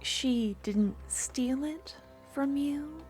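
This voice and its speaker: Woman as a jealous, clingy shopkeeper for an ASMR roleplay: high, slow, soft-spoken, faint music behind. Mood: sweet, teasing. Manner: soft-spoken, sweetly teasing, turning possessive. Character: possessive female merchant, jealous yandere.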